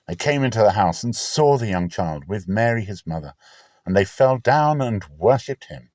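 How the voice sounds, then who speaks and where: A man reads scripture aloud as a dramatic narrator. His voice sits fairly low but swings widely in pitch, with solemn, deliberate phrasing and a very dry, close sound. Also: animated, a little mellow, dry recording. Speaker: Bible reader, channel host.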